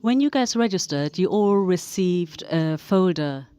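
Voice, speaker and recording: Woman, about forty, from Germany, conference panelist; lowish voice, slow and careful with a few hesitations. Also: animated, warm tone, clean tone, dry recording. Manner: slow and deliberate, with some hesitations. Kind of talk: conference speech. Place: conference hall.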